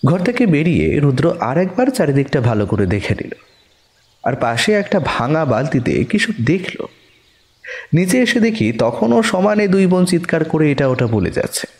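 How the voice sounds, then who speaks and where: A man's voice: on the high side, a little mellow. A man playing the detective's companion, narrating a mystery story expressively in an audio drama. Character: detective's male companion, first-person narrator, puzzled.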